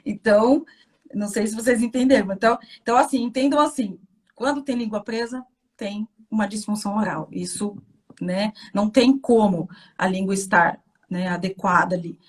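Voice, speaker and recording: A woman with a Brazilian accent, a guest health specialist explaining informally and haltingly, often checking that listeners follow. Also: mid-range voice, husky. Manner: conversational, halting, checks understanding with tags.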